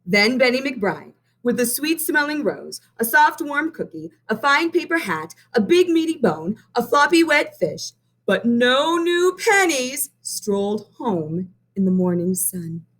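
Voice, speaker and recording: Slow, expressive woman teacher. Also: on the high side. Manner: slow and expressive, pausing to count coins. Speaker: primary math teacher. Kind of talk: children's read-aloud lesson.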